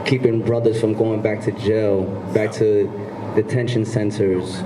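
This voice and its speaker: Low monotone man in background noise. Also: unhurried. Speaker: panel guest, youth counselor. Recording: clear ambient room noise.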